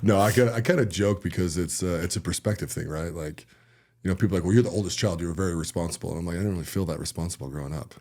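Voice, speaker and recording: A man's voice: low-pitched, rapid-fire, darkish tone. A man hosting a podcast, asking questions in a laid-back, joking way. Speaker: podcast host. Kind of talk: casual family interview.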